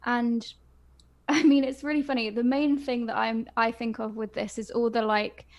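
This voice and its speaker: A woman's voice: fairly high pitch, level tone, moderate pace. A woman co-hosting a casual fan podcast, talking enthusiastically with lots of fillers. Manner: enthusiastic, gushing, frequent fillers. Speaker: podcast co-host.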